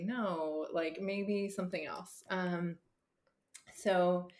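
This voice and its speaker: A woman therapist, a podcast guest, talking casually with many fillers, restarts and easy laughs. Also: on the low side. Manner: laughs easily. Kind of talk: casual conversation.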